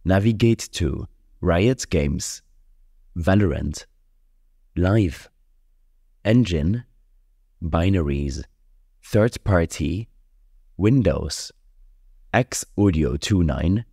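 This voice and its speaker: A man reading a scripted tutorial voice-over in a measured, instructional way, close on the microphone. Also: deep voice, dark tone, slightly rough, no room sound. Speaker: tutorial host.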